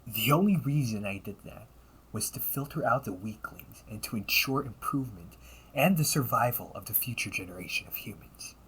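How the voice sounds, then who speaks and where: A man voicing a cunning, condescending villain and older brother in an audio roleplay, taunting and theatrical, often dropping toward breathy near-whispers. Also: mid-range voice, lively intonation, moderate pace, fairly bright tone, poor audio. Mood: cold, self-righteous. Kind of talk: audio roleplay monologue addressed to the listener.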